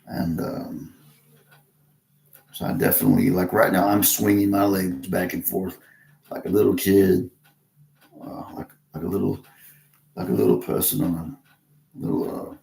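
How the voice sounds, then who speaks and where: A man from Texas talking casually to camera in a halting way, with many restarts and pauses. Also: low-pitched, vocal fry, darkish tone. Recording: roomy echo.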